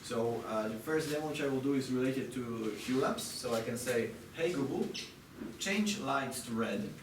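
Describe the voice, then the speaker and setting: A man giving a live product demonstration, speaking haltingly with many filler pauses. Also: mid-range voice, lively intonation. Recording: noisy, echoey room, distant microphone.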